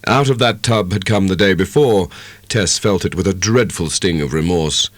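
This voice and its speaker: A man giving a measured, expressive dramatic narration of a literary audiobook. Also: low voice, dry recording.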